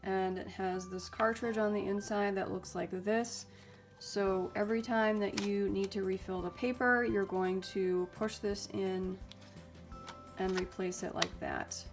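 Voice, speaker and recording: A young woman with a mid-range voice chats through a casual product review, friendly and full of little ums and likes. A lingering cold leaves her slightly raspy and stuffy, and faint music plays quietly under her talk. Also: medium pitch, fairly even intonation, measured pace. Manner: chatty and upbeat, with frequent ums and likes. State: slightly raspy and stuffy, getting over illness. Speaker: YouTube host reviewing mini printers.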